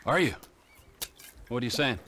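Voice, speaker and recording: An actor playing a volatile middle-aged desert psychopath in a game, gravelly, sardonic and taunting, with a North American accent. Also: male voice, medium pitch, expressive, moderate pace. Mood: mocking. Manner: taunting, sardonic, erupting into shouts. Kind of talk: video game cutscene acting.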